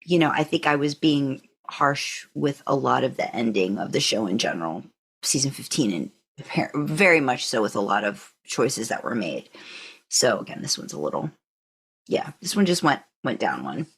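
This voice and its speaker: A woman with a low voice co-hosts a fan podcast. She talks casually and works through a ranking, leaning on repeated words to make her point. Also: big pitch swings, moderate pace, breathy edge. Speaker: podcast co-host.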